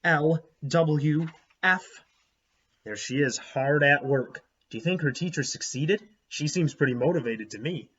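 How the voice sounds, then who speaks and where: A man reads a children's story aloud as a teacher would, in a slightly high, husky voice. His phrasing is expressive and emphatic for young listeners, and he pauses to add explanations. The recording sounds a little dull. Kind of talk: animated read-aloud with explanatory asides.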